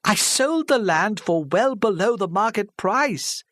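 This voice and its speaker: An earnest older woman speaks up high and pleading, as a male narrator puts her on. Her talk is apologetic and nervous. The sound is dry and close. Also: huge pitch swings, moderate pace, a bit bright, no echo, full character voice. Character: older middle-class woman, anxious and earnest.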